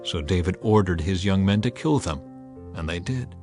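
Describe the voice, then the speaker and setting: A man's voice: low voice, animated, normal speed, a little mellow. Man narrating scripture over music. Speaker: audiobook narrator. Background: background music.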